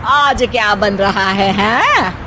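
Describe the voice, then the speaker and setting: A woman's voice: mid-range voice, animated, slow pace, background noise. A woman voicing a bossy, fussy middle-aged mother-in-law who scolds her daughter-in-law in a cartoon story. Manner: fussy, scolding, ends with a nagging "हैं?".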